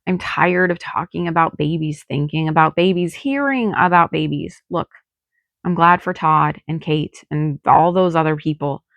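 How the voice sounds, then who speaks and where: A woman's voice: low-pitched, normal speed, creaky, a bit bright, no room sound. A woman voicing a tired, hurt and snappish wife in an audiobook. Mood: weary, fed up. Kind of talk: audiobook character dialogue.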